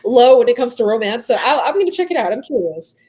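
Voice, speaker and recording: A woman's voice: on the high side, very animated, fast, darkish tone, slight background noise. A woman speaking as a podcast guest, explaining things casually, politely and in detail, often correcting herself. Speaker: podcast guest, manga creator.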